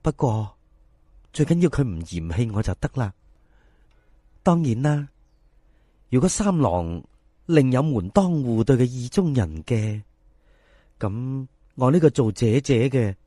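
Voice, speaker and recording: A man's voice: medium pitch, very animated, warm tone, no echo. An earnest young woman in a Cantonese folk tale, as a male storyteller plays the part. The speech is humble and polite yet resolute, with very varied intonation. The sound is dry. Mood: humble, sincere. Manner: polite, measured, pleading sincerity.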